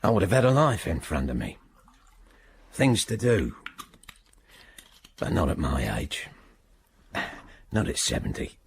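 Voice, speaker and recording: Gravelly, slow old man. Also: sits low, big pitch swings, heavily acted. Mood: bitter grief. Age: 70s. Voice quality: gravelly, husky. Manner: slow, halting, reflective monologue. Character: weary bitter man of seventy, haunted by the past. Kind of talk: radio drama monologue.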